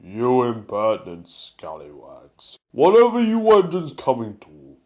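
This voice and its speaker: A man's voice: medium pitch, wildly swooping pitch, a little slow, darkish tone. A man voicing a proud, stern old steam engine in a storybook, with a Welsh accent and a pompous, scolding manner. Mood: indignant. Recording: music behind the voice.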